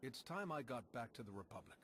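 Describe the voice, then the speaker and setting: A steady heroic commander in a game cutscene. His voice is of average pitch, and he speaks plainly, calmly and politely. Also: a little fast, slight background noise. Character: steady, earnest male commander and hero. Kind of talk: video game cutscene acting.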